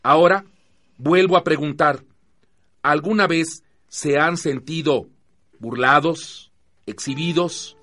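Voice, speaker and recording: An actor playing an earnest, encouraging youth workshop leader in a radio drama, speaking in a measured, didactic way. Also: male voice, fairly high pitch, sharp tone, exaggerated voice. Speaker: group facilitator and narrator. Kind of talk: radio drama acting, scripted narration.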